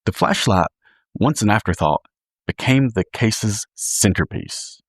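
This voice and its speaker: A man reading scripted true crime narration in a measured, dramatic storytelling manner, as a podcast host. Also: fairly low pitch, expressive, husky, dry recording, clean studio sound.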